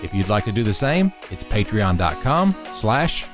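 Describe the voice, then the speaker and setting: Animated man over music. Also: fairly low pitch, moderate pace. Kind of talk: scripted thank-you voice-over.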